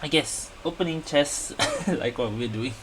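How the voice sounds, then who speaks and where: A woman's voice: sits low, measured pace, noisy background. A woman giving casual, hesitant, musing commentary while playing a video game. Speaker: gaming streamer. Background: game music.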